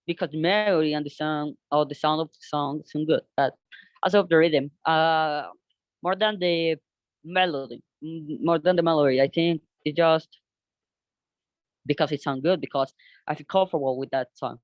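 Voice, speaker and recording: A young man with a Colombian Spanish accent talks through his class podcast project, his voice sitting slightly high. He stumbles and restarts often, feeling for the right English words. The recording sounds dull and dry, close to the mic. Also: fairly even intonation, no echo. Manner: halting, searches for words, frequent restarts in hesitant English. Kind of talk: unscripted class presentation.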